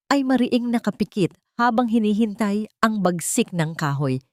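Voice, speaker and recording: Low-voiced woman narrating a love story. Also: a little mellow, no echo, clean studio sound. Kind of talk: dramatic scripted narration of a Tagalog love story.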